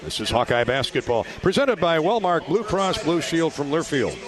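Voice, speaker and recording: A man's voice: a little high, big pitch swings, normal speed. An American man doing live play-by-play radio commentary of a basketball game. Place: indoor basketball arena. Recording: clear arena noise behind, ball bounces. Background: game ambience, bouncing balls.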